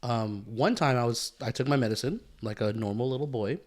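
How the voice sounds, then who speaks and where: A man's voice: medium pitch, brisk. A man co-hosting a podcast in casual chat, loose and slangy, swearing as he tells anecdotes. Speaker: podcast co-host.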